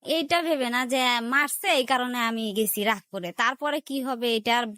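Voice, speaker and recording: A woman's voice: fairly high pitch, normal speed, pushed and bright, no room sound, clean studio sound. A woman with a Bangladeshi accent telling a personal life story as an interview guest, relaying remembered conversations in quoted dialogue. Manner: recounts events in quoted back-and-forth dialogue.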